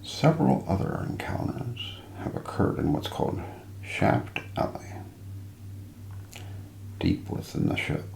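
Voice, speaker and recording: A deep-voiced man with heavy vocal fry narrates mysteries in a hushed ASMR style. He moves slowly and deliberately, building suspense. The recording is roomy, with light background noise. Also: huge pitch swings. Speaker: narrator and host of an ASMR role play.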